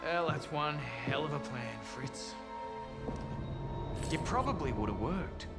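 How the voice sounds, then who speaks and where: A man's voice: fairly high pitch, lively intonation, takes it slowly. A man with an Australian accent playing a cocky, defiant soldier in a war game, sardonic and blokey. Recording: loud music behind the voice.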